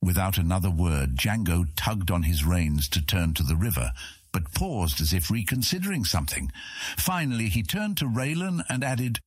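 Deep, husky male fantasy narrator. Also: sing-song, moderate pace, dry recording, studio quality. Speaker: audiobook narrator. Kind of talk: dramatic scripted narration of fantasy fiction.

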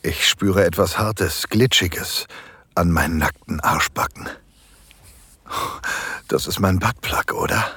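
Man playing pleading submissive husband, deep-ish voice, unhurried halting speech, breathy, close dry sound, erotic audio drama. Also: dry recording, heavily acted. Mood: tense anticipation. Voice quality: husky, breathy. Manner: slow, hushed, halting with moans. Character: submissive married man, construction foreman, eager, aroused, pleading. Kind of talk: intimate first-person erotic audio drama narration.

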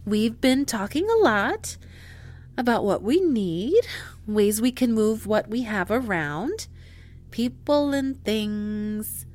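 A woman's voice: fairly high pitch, expressive, slow, darkish tone. An actress in an audio drama playing a chatty, emphatic woman who runs a moon base's inventory and gets easily frustrated. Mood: frustrated, exasperated.

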